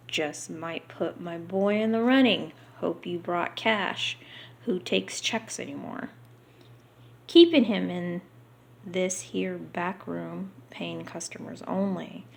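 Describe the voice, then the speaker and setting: Gravelly-voiced woman reading aloud. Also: lowish voice, slow pace, a little mellow. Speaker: vlogger reading a book aloud. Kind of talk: unrehearsed read-aloud with casual asides.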